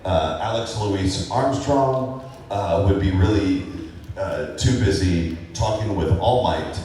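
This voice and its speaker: Deep-voiced man rambling in an echoey hall. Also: slow, dark tone, a little hiss or hum. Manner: rambling, laid-back, many fillers and chuckles. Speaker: voice actor guest on a convention panel. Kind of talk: casual panel Q&A answers. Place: large convention hall. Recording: echoey hall recorded from far off.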